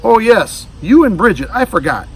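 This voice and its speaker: A man's voice: high voice, big pitch swings, moderate pace, slightly rough, recorded from a distance, voice pushed up, heavily acted, poor audio. A man voicing a young storybook boy, casual, obliging and matter-of-fact.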